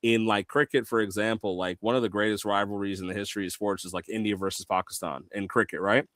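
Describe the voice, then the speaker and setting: A man from Guyana chats casually on a podcast with a crisp, resonant voice. He jokes a lot and restarts his sentences often. The sound is dry and close to the mic. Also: lowish voice, fast, no echo. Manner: animated, joking, lots of restarts and laughs. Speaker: podcast co-host. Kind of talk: casual chat.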